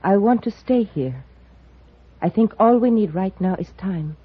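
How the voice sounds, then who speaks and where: Casting: a rancher's wife, breathy and animated, earnest, vintage radio western. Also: female voice, mid-range voice, measured pace. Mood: pleading. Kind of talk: 1950s radio western acting. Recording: dull old-time radio recording.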